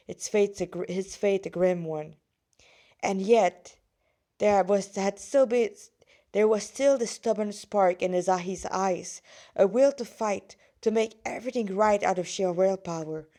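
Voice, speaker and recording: A woman reading her own fan fiction aloud in an amateur, halting way, stumbling and correcting herself with casual asides. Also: mid-range voice, dark tone.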